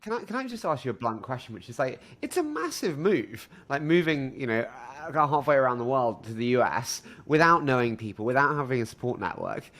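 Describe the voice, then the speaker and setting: A young man in his twenties hosting a podcast interview, asking questions enthusiastically and quickly, with restarts. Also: mid-range voice, sing-song, a bit bright. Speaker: podcast host.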